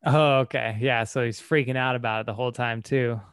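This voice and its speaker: An American man talks casual shop as a tree service podcaster. His voice is clean and smooth at an ordinary pitch, and the recording is dry with very little room sound. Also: fairly even intonation, a little fast, fairly bright tone, no room sound.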